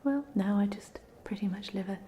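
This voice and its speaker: Woman as a softly whispering, chatty ghost. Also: medium pitch, a little fast, a little hiss or hum, close to an everyday voice. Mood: gentle, friendly. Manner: whispered, hesitant, gently rambling. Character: polite, chatty headless ghost of a drowned Hogwarts student. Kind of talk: whispered ASMR roleplay.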